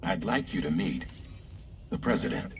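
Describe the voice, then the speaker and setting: An actor playing a courteous, measured male patron who explains things formally in a video game cutscene. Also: sits low, animated, vocal fry, distant mic, a little hiss or hum. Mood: composed, coy. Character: smooth, courteous male patron speaking through a borrowed comrade's voice.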